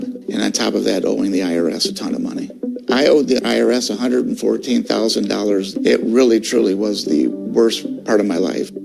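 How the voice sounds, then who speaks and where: Middle-aged man, heavy vocal fry, low pitch, testimonial over clear music. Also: a little mellow, poor audio. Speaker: advertisement testimonial speaker. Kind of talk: personal testimonial.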